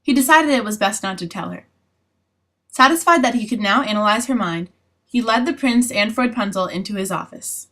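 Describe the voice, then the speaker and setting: A woman's voice: medium pitch, lively intonation, normal speed, a bit bright. A woman reading scripted storybook narration for a fairytale parody.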